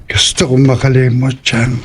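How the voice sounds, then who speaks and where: Audio drama role for a man: brooding drinker, defensive, monotone, mid-pitched and heavy with regret. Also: moderate pace, breathy edge, lightly acted. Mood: sullen, bitter. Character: brooding, secretive drinking man haunted by loss. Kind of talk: horror audio drama acting.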